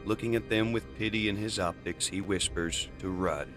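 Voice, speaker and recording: A man's voice: on the low side, fairly even intonation, moderate pace, over music. A man hosting and narrating a comic dub in a theatrical, scripted storytelling style.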